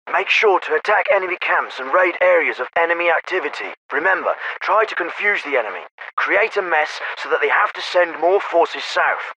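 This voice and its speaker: Clipped officer over crackly radio. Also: male voice, sits high, bright, forceful tone, muffled recording, exaggerated voice. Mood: brisk, commanding. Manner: clipped, authoritative, urgent. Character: male special forces officer, brisk, commanding, coolly impatient. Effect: radio filter. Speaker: military field commander. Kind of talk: military radio briefings in a game. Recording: band-limited, hissy military radio transmission.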